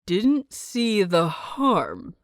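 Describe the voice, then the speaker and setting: Woman voicing a stern, slow-speaking old grandmaster. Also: medium pitch, wildly swooping pitch, no echo, clean studio sound, exaggerated voice. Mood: stern, incredulous. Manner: clipped, emphatic, scolding delivery. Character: stern, irritable older male grandmaster, harsh and incredulous. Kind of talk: dramatised audiobook reading.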